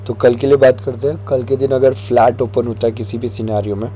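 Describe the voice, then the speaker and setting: A man with a hoarse throat, walking viewers through stock chart levels in a relaxed tutorial, mixing in English phrases. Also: mid-range voice, a little fast, faint music behind. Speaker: stock market analyst.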